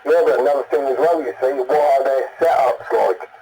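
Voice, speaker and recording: A man's voice: mid-range voice, brisk, music in the background, rough recording. A British man heard over CB radio, chatting in a blunt, colloquial, rambling way as an enthusiast. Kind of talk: casual radio chat.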